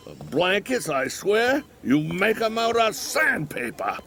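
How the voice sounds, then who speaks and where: An actor playing a grumpy, sardonic old American bookshop owner complaining from a hospital bed in an audio drama. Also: male voice, sits high, sing-song, slow pace, fairly bright tone, slight background noise, full character voice. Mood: crotchety, sardonic. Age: older.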